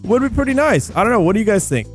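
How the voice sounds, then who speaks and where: An excitable man with a somewhat high-pitched voice hosts a casual gameplay video. He talks in loose slang, rambling and doubling back on phrases as he thinks aloud. Light music plays behind his commentary. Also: racing. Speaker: video game YouTuber and host. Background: background music.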